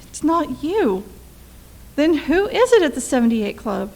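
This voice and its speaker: A woman acting as an anxious, high-voiced devoted wife. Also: very animated, unhurried, vocal fry, a little mellow, heavily acted, low-quality recording. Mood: startled. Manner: melodramatic, pleading. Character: anxious, devoted wife in fear for her life. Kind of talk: old-time radio play acting.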